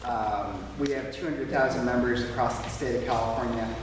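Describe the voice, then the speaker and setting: A man's voice: medium pitch, fairly even intonation, normal speed, noisy background. A man with a big projecting voice making an emphatic debate speech in a small church meeting room. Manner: emphatic, plain-spoken, repeats slogans. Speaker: debate speaker for a taxpayers' group. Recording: echoey small hall, from far off.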